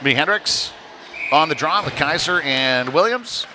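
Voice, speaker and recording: A man's voice: fairly high pitch, animated, a little slow. Man calling hockey, noisy rink behind. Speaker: play-by-play commentator. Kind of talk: live youth hockey commentary. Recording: noisy live rink stream.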